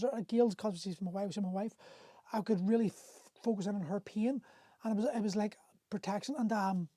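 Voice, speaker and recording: A man's voice: high-pitched, mellow, no room sound. A middle-aged man hosting a livestream, telling a personal story candidly and emotionally, swearing freely and often stopping to restart his sentences. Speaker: host. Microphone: phone on a livestream.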